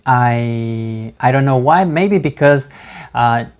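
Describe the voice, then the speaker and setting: A man's voice: mid-range voice, smooth. A man speaking English with a non-native accent, recounting his studies in a casual vlog, hesitant with many fillers and restarts. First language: not English. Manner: halting, many fillers and restarts, laughs between words. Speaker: vlogger. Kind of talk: casual talk to camera.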